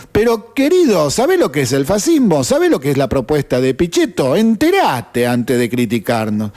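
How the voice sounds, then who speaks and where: An Argentine man on the radio rants with open indignation, firing off rhetorical questions at his listeners. His voice sits a little high for a man. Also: a little mellow. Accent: Argentine Spanish. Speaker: radio host. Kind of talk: opinion monologue on radio.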